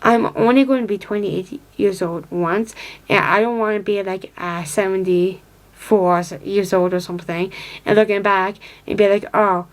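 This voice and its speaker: A woman's voice: medium pitch, steady tone, normal speed, poor audio. American girl in her 20s vlogging, lots of like and and everything, kinda rambly, checks her notes. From upstate New York, United States. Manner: rambling, many fillers and restarts; reads from notes, looking down. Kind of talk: casual talk to camera.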